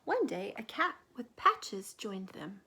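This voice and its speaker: A woman reading a picture book aloud to children like a teacher, gently pausing to ask questions and point things out. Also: mid-range voice, sing-song, a little hiss or hum.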